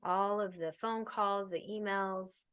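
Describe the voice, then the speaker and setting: A woman leading a guided meditation as a teacher, giving soothing, unhurried instructions with pauses. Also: mid-range voice, fairly even intonation, fairly bright tone, smooth.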